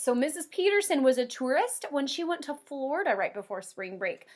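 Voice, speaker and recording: A woman kindergarten teacher doing an expressive read-aloud for young children, with drawn-out emphasis and simple explanations. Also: high-pitched. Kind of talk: animated children's read-aloud with comprehension questions.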